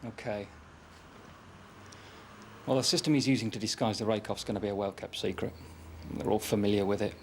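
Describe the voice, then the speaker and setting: An actor playing a calm, confident detective constable in a TV police drama. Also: male voice, fairly low pitch, brisk, pauses often, a little hiss or hum, close to an everyday voice. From London.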